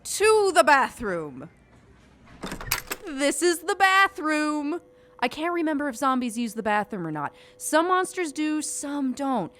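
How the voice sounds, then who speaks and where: Woman playing a breezy, animated witch, high-pitched. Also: slow, a little hiss or hum, full character voice. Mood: excited. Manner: breezy, chatty, theatrical. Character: breezy, excitable, inept female witch guide. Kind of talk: audio drama acting.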